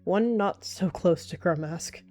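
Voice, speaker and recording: A woman tells an animal fantasy story in the third person as part of a roleplay. Her voice sits fairly low, with faint music behind it. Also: measured pace, warm tone. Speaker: roleplay narrator.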